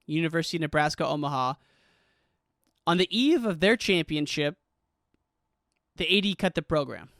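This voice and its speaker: A man's voice: a little high, fairly bright tone, clear, resonant tone, dry recording, studio quality. A man hosting a podcast and interviewing a guest, upbeat and friendly. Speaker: podcast host.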